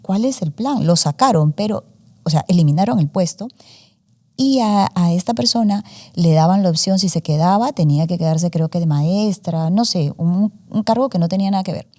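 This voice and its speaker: A woman's voice: lowish voice, moderate pace, dark tone. A woman in her forties, a student journalist, talking through her story in a casual interview with many fillers and English words mixed in. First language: Spanish. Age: 40s.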